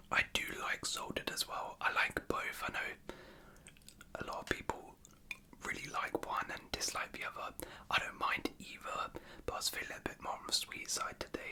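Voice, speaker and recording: A British ASMR host whispering casual, unscripted chat in a hesitant, rambling way. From United Kingdom.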